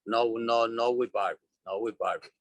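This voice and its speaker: A man's voice: medium pitch, fairly even intonation, moderate pace, no echo. A man from Puerto Rico with a Puerto Rican Spanish accent, chatting as an interview guest, repeating and restarting words as he tells his story. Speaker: guest, doll designer. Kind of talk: casual interview chat.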